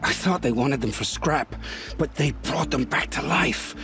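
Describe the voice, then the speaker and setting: A haunted grim scavenger in a game, intense storyteller, faint music under it. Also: male voice, mid-range voice, expressive, a little slow. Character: haunted male scavenger, middle-aged, wary and grim. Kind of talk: video game voice acting.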